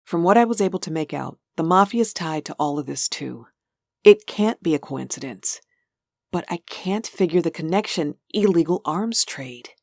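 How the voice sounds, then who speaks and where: A woman playing a determined, caring wife with a husky voice and earnest, thoughtful manner in a comic dub. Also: fairly low pitch, expressive, normal speed, darkish tone, dry recording, clean studio sound. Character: determined, caring wife doing some investigating. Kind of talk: dramatic comic dub acting.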